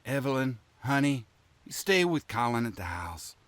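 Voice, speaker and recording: A man's voice: mid-range voice, big pitch swings, moderate pace, fairly bright tone, no room sound. A man playing a tired country farmer and devoted father with a rural American accent and a folksy drawl, in an audio drama. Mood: resolute, gentle.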